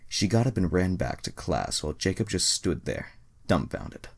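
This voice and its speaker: Young male reader, bass-low and fry-heavy, sarcastic, sweary, mock-dramatic delivery of amateur fiction. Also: sing-song, normal speed. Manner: sarcastic, profane asides. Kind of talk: mocking dramatic reading of amateur fan fiction.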